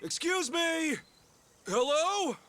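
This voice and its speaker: An actor playing a hot-blooded, earnest, good-hearted video game hero with a blunt, expressive delivery. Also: male voice, extremely high pitch, extremely slow, a little hiss or hum, exaggerated voice. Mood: polite, hesitant. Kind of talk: cinematic video game acting.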